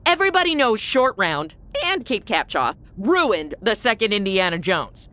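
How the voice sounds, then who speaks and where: A woman's voice: mid-range voice, very animated, normal speed, sharp tone. A woman playing a sarcastic, wisecracking modern young woman in a comedy audio drama. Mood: snarky. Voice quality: snarky, wisecracking.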